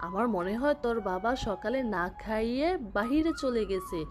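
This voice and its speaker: A woman voicing a gentle, worried village mother in a children's cartoon, soothing and fretful. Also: a little high, lively intonation, moderate pace, natural voice.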